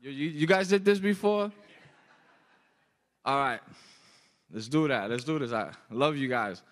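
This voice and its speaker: Young man, sharp bright voice, rhythmic emphatic poet's delivery, long auditorium reverb. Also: mid-range voice, a little slow. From Boston, United States. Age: young adult. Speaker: spoken-word poet. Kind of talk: live spoken-word poetry performance. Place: large auditorium stage, indoors. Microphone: microphone on a stand.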